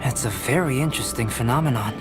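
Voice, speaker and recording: An actor playing a calm, enigmatic young male scholar with measured, formal speech in an English anime game dub, over music. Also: medium pitch, close to an everyday voice. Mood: analytical.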